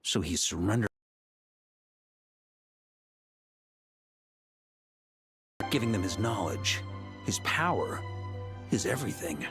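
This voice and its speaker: A man voicing a seasoned, affable but resolute knight leader in a video game, musing and expressive. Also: sits low, normal speed, slightly rough, no echo, soft background music, full character voice. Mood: stunned, grave. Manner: expressive, musing, hesitant asides. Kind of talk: English video game dialogue acting.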